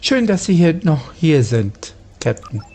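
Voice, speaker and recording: A player speaking role-play dialogue over a game in a deliberate way. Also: medium pitch, big pitch swings, mellow.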